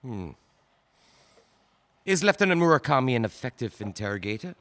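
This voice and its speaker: A man's voice: medium pitch, very animated, fairly bright tone. A man playing a formal, probing prosecutor. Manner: formal, probing questioning with careful pauses. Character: male prosecutor questioning a witness in court. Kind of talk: audio drama acting.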